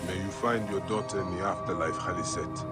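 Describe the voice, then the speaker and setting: An actor playing a stern, vengeful ancient Egyptian warrior in a video game, solemn and forceful. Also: male voice, low-pitched, fairly even intonation, a little fast, over loud music, natural voice. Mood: grave, restrained. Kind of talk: dramatic video game acting.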